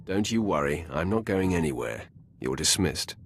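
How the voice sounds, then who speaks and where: An actor playing a commanding, cold Sith master in a video game, with a terse, imperious and self-assured manner. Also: male voice, deep voice, lively intonation, moderate pace, a little hiss or hum. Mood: condescending. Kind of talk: dramatic video game voice acting.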